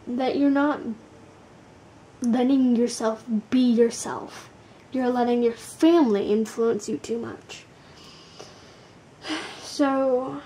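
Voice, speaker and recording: A woman doing a casual, blunt tarot card reading, tired, with a gravelly, sleepy voice, swearing casually and using lots of fillers. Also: fairly high pitch, warm tone, a little hiss or hum.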